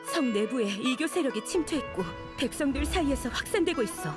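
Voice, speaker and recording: A woman's voice: high voice, expressive, brisk, over loud music. A woman playing an anxious, guilt-ridden scholar who speaks in a guarded, urgent way in a game drama.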